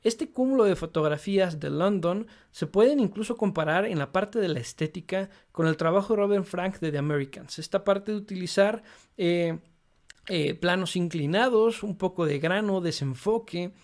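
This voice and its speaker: A man giving an unscripted explanatory talk as a photography channel host, relaxed, with frequent hesitations. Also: sits high, moderate pace. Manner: relaxed, frequent fillers "eh" and "este".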